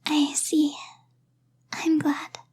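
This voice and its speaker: A woman playing a kindly, timid innkeeper in an ASMR fantasy roleplay, speaking gently in a hushed, slightly hesitant half-whisper. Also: sits high, monotone, extremely slow. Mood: gently concerned. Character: kindly, timid, softly spoken female innkeeper.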